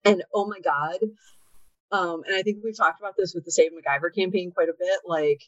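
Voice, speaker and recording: Woman in her 30s, chatty interview guest, slightly low voice, muffled dry recording. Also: brisk, no echo. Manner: chatty, frequent "like" fillers. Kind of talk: casual conversation. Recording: dull lo-fi sound, dry.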